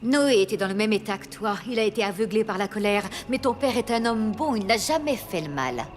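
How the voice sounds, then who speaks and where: An actress playing a calm, reasoning woman who counsels earnestly in a biblical cartoon dub. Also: mid-range voice, fairly quick, soft background music, lightly acted. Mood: earnest, consoling. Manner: reassuring, earnest. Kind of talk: dubbed biblical cartoon acting.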